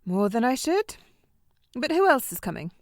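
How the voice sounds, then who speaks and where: A woman's voice: a little high, very animated, moderate pace, no echo, clean studio sound. An actress playing a vain, sassy, teasing woman in an audio drama, with an arch, cutting manner. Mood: indignant.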